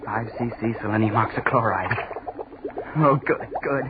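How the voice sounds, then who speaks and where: A man's voice: mid-range voice, normal speed, close to an everyday voice. On a noisy, tinny 1940s radio recording, a man plays an unhinged young American student chemist. He mutters obsessively, repeats himself, and builds to fevered cries in old horror-drama style. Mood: gloating obsession.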